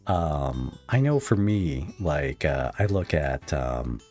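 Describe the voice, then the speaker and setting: A man's voice: very deep voice, lively intonation, slow, a little mellow, soft background music. A man hosting a casual podcast interview, feeling out his questions and points in a tentative, conversational way.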